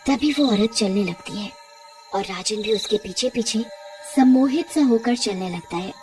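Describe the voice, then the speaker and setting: A woman's voice: medium pitch, normal speed, music in the background. A woman narrator reading a scripted story in a dramatic storytelling style.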